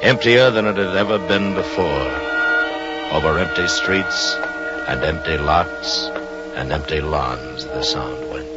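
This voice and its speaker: An actor narrating a suspense radio drama as a grave, literary storyteller, measured and atmospheric. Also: male voice, a bass voice. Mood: grave, suspenseful. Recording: muffled old radio recording. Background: music.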